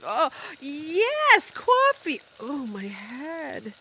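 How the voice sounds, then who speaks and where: A hungover, flirty houseguest, played by a woman in a radio comedy. She drags her words very slowly with big swoops of pitch, over kitchen noise. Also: wildly swooping pitch, bright, forceful tone, heavily acted. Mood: languid, groggy. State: hungover, groggy. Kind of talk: audio comedy acting. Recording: noisy kitchen sound effects.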